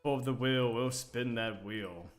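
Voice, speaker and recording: A man's voice: mid-range voice, takes it slowly, faint music behind. A man streaming a video game, reading its text aloud and chuckling easygoing through it, on a desk mic. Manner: chuckling, easygoing, reads narration lines.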